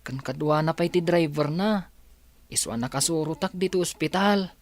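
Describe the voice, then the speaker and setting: A woman's voice: low voice, moderate pace, a little mellow, lightly acted. A woman acting as an anxious female helper in a radio drama. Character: anxious female helper attending her madam.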